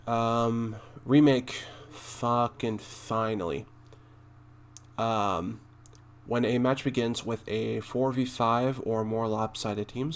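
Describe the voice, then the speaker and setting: A man doing casual commentary as he reads game notes aloud, halting and thinking out loud, with a blunt streak. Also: lowish voice. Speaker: gaming content creator and streamer.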